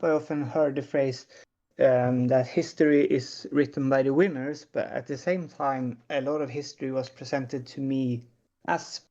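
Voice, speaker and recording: Slow, gravelly Swedish man on a call. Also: medium pitch, darkish tone, muffled recording. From Sweden. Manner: hesitant, many pauses and fillers. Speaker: panelist. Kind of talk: casual panel discussion.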